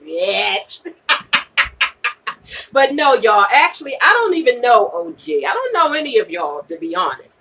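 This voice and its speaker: A woman's voice: a little high, sing-song, unhurried, vocal fry, pauses often, bright, forceful tone, breathy edge, far from the mic. A woman in her late forties giving candid, emphatic advice in a casual, slangy livestream chat, repeating her points for weight. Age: late 40s. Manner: emphatic and repetitive, punctuated with "okay?" and "you know". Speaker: vlogger and podcaster chatting live with viewers.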